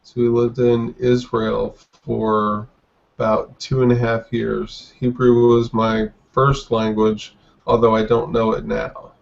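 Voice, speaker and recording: A man in his forties speaks as a guest in a casual podcast interview. His delivery is slow and reflective, with halting phrases and little movement in pitch. The audio is dull and lo-fi. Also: monotone, gravelly. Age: 40s.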